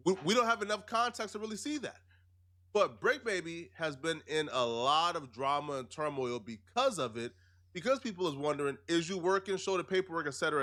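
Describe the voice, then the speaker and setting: Emphatic man commentator, slightly high voice. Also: pushed and bright. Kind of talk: explanatory commentary.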